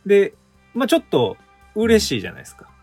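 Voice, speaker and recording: A man with a somewhat high voice talks in an earnest, slightly sheepish way. His pitch swoops widely, which makes him sound very animated. He lays things out in detail, like he's sharing a small confession with friends. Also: fast talker, husky, faint music behind. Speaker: theater company member on a radio talk show. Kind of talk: casual chat about theater rehearsals.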